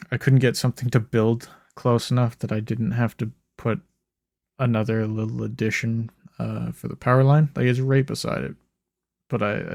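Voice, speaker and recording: A young man giving laid-back gameplay commentary in a flat, monotone ramble, his voice a bit hoarse and rough. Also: no echo, clean studio sound. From Canada. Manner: monotone, low-key rambling. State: slightly hoarse. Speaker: gaming YouTuber. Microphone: desk microphone.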